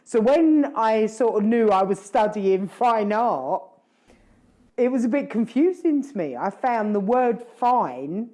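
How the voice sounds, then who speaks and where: A woman's voice: on the high side, expressive, slow, mellow. Rambling, reflective woman chatting. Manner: rambling, self-correcting, reflective. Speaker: podcast host. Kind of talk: casual chat.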